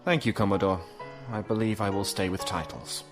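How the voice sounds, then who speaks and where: A proud, aloof nobleman acted by a man from a science fiction audio drama. His low voice moves expressively, formal and clipped with cool defiance. Music is clearly heard behind him. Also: steady tone, brisk, natural voice. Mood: coolly defiant.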